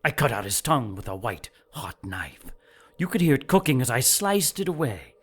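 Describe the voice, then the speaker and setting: A man playing a cruel, exacting cult master in an audio drama, speaking in a measured, formal, threatening way. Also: mid-range voice, very animated, slightly rough. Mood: coldly menacing.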